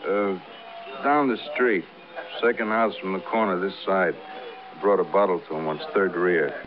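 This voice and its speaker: An American man acting a wary, slow-witted dive bartender in a vintage radio drama, speaking in laconic, plodding short phrases. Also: sits low, natural voice. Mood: puzzled, confiding. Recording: muffled vintage radio recording with music.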